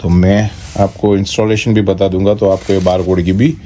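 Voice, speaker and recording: A man with an Indian accent giving a casual, step-by-step product walkthrough in short, halting phrases. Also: deep voice, flat delivery, mellow. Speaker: product reviewer and vlogger. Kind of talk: casual unboxing walkthrough, Hindi mixed with English. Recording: noticeable background noise.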